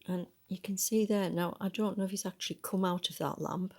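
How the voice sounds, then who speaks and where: Woman, low-pitched, soft and breathy, storybook reader, musing asides, gravelly creak. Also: fairly even intonation, brisk. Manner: musing, tentative, conversational. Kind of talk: reading a picture book aloud with casual, chatty asides about the illustrations.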